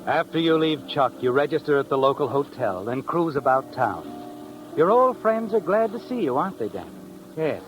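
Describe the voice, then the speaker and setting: Smooth knowing radio suspense narrator guy, swooping delivery, old 1950s recording w/ music. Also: fairly high pitch, very animated, moderate pace, dull audio. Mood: knowing, suspenseful. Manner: knowing, needling, with tag questions. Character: suave, insinuating male narrator addressing the protagonist as "you".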